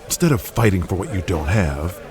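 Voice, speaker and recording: A man's voice: deep voice, lively intonation, a little fast. A man playing a cynical, blunt, foul-mouthed 1980s wrestling promoter in an audio drama, speaking with authority. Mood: lecturing. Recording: crowd noise behind the voice. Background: arena crowd.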